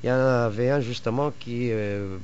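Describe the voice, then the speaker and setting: A man chatting casually as an amateur radio operator, halting often as he searches for words. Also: mid-range voice, fairly even intonation, a little mellow, dull audio, a little hiss or hum. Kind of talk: casual chat over radio.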